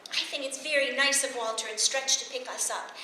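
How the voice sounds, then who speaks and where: A woman's voice: high voice, a little fast, pushed and bright. A woman playing an earnest, chirpy American teenage daughter in old-time radio comedy, eager and girlish. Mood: earnest protest. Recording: echoey, faintly noisy stage recording.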